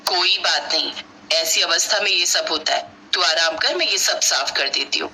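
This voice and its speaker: A woman's voice: fairly low pitch, animated, normal speed, bright, forceful tone, a little hiss or hum, pitched below the performer's own voice, heavily acted, rough recording. A woman voicing a kind, simple village sister-in-law who is caring and eager to please in a family story.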